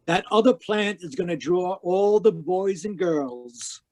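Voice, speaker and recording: A man's voice: high-pitched, lively intonation, unhurried, darkish tone, no echo. Man playing a wisecracking noir detective, lightish voice. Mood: wry, brooding. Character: wisecracking, world-weary hardboiled male private detective. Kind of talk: 1940s radio noir acting, first-person narration. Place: home room, live video call performance.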